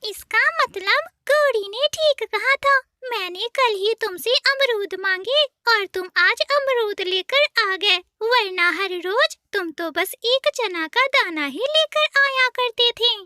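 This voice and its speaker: A woman voicing a sulky, demanding female parrot wife in a cartoon, whiny and shrill. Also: animated, takes it slowly, few pauses, bright, forceful tone, dry recording, heavily acted. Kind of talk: cartoon voice acting.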